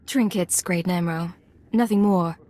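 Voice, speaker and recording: A woman's voice: on the low side, measured pace, mellow. A woman playing a cool, self-assured undercover agent in a video game, speaking in terse, confident lines. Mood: confident, guarded. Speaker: voice actor in a game. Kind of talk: video game cutscene acting.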